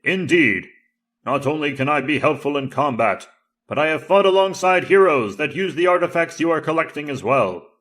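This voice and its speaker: A man voicing an ancient enchanted tiger saber in an audio drama, formal, flattering and theatrical. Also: fairly high pitch, moderate pace, voice pushed up. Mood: generous. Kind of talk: audio drama character acting.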